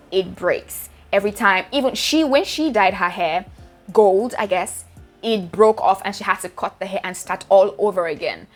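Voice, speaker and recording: A young Nigerian woman with a Nigerian accent, giving chatty, direct hair care advice to her viewers in a casual vlog. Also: medium pitch. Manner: chatty, direct, addresses viewers as sis and guys.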